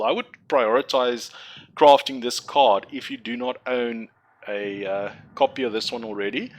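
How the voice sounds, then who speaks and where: A man's voice: medium pitch, a bit bright. A young man whose first language is Afrikaans, rambling and correcting himself as he walks through building a card game deck. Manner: rambling, self-correcting, chatty explainer. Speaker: gaming content creator. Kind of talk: casual game tutorial commentary.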